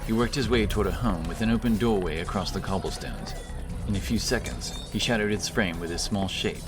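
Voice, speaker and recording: A man's voice: fairly low pitch, brisk. A man reading dramatic scripted fantasy narration as an audiobook storyteller. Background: music.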